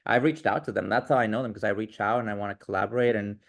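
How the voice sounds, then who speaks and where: A man's voice: on the low side, fairly even intonation, quick pace, creaky. A man guest on a podcast, talking casually and enthusiastically, with many fillers. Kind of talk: casual interview chat.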